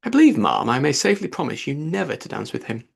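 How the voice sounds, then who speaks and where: Man as a witty young gentlewoman, animated. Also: medium pitch, fast talker, gravelly, breathy edge, full character voice. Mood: dry. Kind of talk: audiobook character dialogue.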